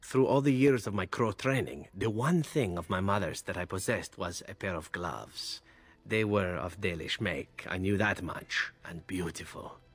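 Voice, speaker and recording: A man's voice: sits low, moderate pace. A suave male elf assassin from a fantasy game speaks. He is wry and world-weary, telling his tales with easy nonchalance. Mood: wistful, detached. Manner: smooth, nonchalant storytelling.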